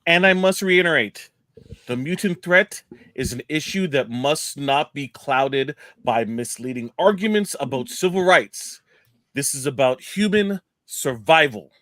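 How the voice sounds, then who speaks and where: A man's voice: on the high side, expressive, measured pace, bright, forceful tone, dry recording. A man playing an alarmist senator in a radio play, giving formal, emphatic political oratory. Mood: urgent, preaching. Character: alarmist male senator giving an urgent speech.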